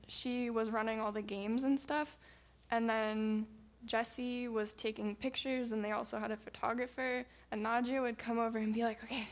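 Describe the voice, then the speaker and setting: A woman's voice: a little high, creaky. A young woman from the United States casually telling stories from a church trip, with frequent ums and small laughs as she talks. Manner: chatty, many ums, laughs while talking. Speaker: missionary reporting back. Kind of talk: casual storytelling presentation.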